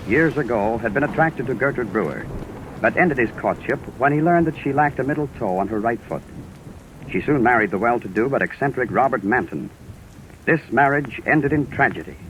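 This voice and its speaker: A vintage radio recording with faint noise behind a male announcer. His mid-pitched voice delivers scripted copy with formal, polished emphasis in classic old-time radio style. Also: a bit bright, low-quality recording.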